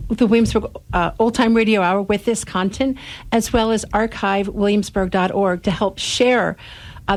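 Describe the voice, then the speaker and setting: A woman with an American accent speaking as an interview guest, explaining earnestly and in measured phrases. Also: mid-range voice. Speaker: radio show producer, guest. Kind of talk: interview talk.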